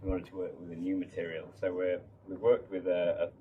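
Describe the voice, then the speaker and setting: A man giving an informal technical product presentation as a design lead, haltingly, often repeating words. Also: low voice, level tone, phone-quality sound. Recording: distant mic, faint room noise.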